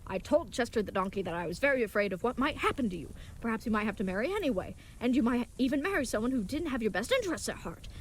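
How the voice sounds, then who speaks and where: A woman's voice: on the high side, sing-song, fast, few pauses, slight background noise, low-quality recording. A woman playing an earnest, naive and brave young leatherworker in an audio drama, speaking in formal, chivalrous, contraction-free phrases. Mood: earnest, chatty. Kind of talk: scripted audio drama acting.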